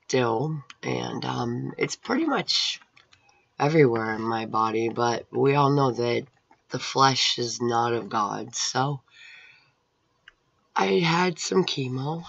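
Woman, middle-aged, gravelly husky voice, unhurried earnest testimony, dull webcam sound. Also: very deep voice, no room sound. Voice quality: raspy, hoarse. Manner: rambling, earnest, religious. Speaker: Bible college student. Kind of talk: personal video testimony. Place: room at home with painted scripture wall. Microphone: webcam at close range.

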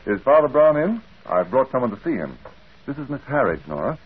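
An actor playing a genial, businesslike private detective in an old radio drama. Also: male voice, mid-range voice, very animated, brisk, husky, no echo. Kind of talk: 1940s radio drama acting. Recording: muffled old radio broadcast.

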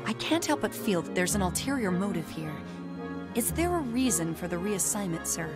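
A woman's voice: medium pitch, very animated, normal speed, over loud music, close to an everyday voice. A woman playing a stern, proud, rule-bound young soldier in a video game, speaking bluntly. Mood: suspicious. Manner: blunt, clipped, scolding. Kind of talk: English game voice acting.

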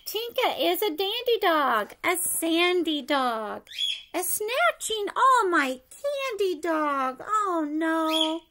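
A middle-aged woman reads a rhyming picture book aloud to young children in an expressive sing-song way, pausing to ask them questions. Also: very high voice. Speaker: preschool story-time teacher reading aloud. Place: living room at home, indoors.

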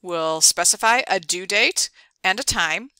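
A woman presenting an instructional screencast, explaining things clearly step by step. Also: medium pitch, expressive, measured pace, bright, forceful tone, no echo. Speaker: ed tech tutorial presenter. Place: dry close voice-over for a screen recording.

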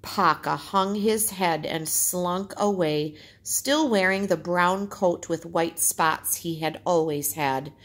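A woman teacher reads aloud and explains things simply. Her voice is husky and slightly low, and she takes her time over the words. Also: slow pace, hardly any pauses. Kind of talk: storytime lesson, reading aloud with explanations.

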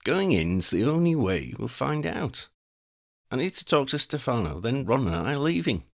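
A man's voice: medium pitch, big pitch swings, normal speed, vocal fry, pitched above the performer's own voice. A man voicing a stubborn young male wizard hero in an audiobook reading, brash and defiant. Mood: determined.

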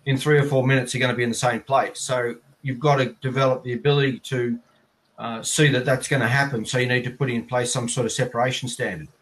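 A man's voice: mid-range voice, steady tone, quick pace, a bit bright, rough recording. Australian man in his fifties explaining things. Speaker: interviewee, air traffic controller. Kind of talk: conversational explanation in an interview. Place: plain indoor room, video call.

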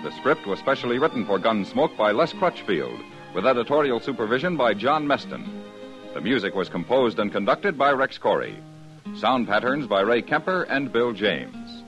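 A man's voice: medium pitch, moderate pace, soft background music. A man reading a public service notice and the credits as a formal 1950s radio announcer. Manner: smooth, lively, formal announcer delivery. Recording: dull, dry 1950s radio broadcast.

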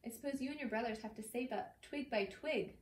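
A woman's voice: a little high, fairly quick, pitched above the performer's own voice, natural voice. A woman voicing a spiteful, sneering schoolboy bully from a storybook, in an echoey room. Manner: sneering, snappish. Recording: roomy echo, distant mic, faint noise.

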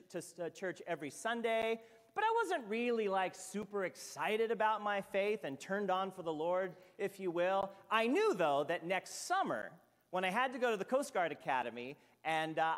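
A man in his fifties, a senior pastor from Hawaii, preaching a sermon at the pulpit with lively storytelling and asides. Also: sits high. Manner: animated storytelling with sweeping gestures and asides. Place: church sanctuary at a pulpit. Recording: long reverb of a church hall.

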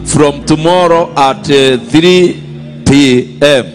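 A man leading a night crusade in a stadium, speaking in short booming phrases for an interpreter. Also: takes it slowly. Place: large outdoor stadium at night. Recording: music playing behind, stadium PA.

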